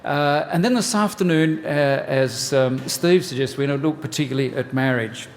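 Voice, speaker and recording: A man in his sixties with an Australian accent, from New South Wales. He gives a church workshop talk in a relaxed, joking way, with many "uh" fillers. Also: on the high side, moderate pace, big-room sound, slight background noise. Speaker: family ministries pastor. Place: church hall.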